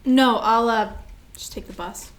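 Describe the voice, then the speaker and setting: An actress plays a prickly, immature younger sister in mourning. She is a woman with a fairly high voice, wounded in temper, heard in a staged drama reading. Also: measured pace, lightly acted. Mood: tentative.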